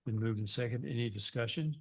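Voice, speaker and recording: A man chairing a public board meeting, speaking haltingly with frequent fillers. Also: medium pitch, creaky.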